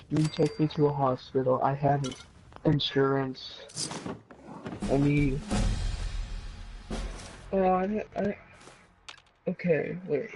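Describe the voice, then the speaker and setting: A man's voice: fairly high pitch, darkish tone, a little hiss or hum, poor audio. A man of about twenty telling personal stories in a casual, rambling, sweary way while gaming. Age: around 20. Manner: sweary, digressive. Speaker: gaming streamer.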